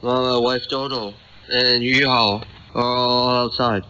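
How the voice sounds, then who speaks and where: A man's voice: mid-range voice, steady tone, measured pace, sharp tone. An actor playing an anxious, hesitant married son who stammers as he soothes his family in a radio drama. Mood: nervous, soothing. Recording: muffled, noisy radio capture.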